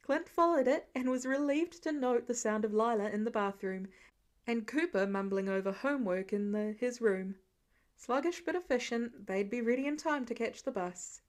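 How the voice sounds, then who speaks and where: Female podfic narrator, higher-pitched voice, scripted story reading. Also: moderate pace. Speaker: podfic reader.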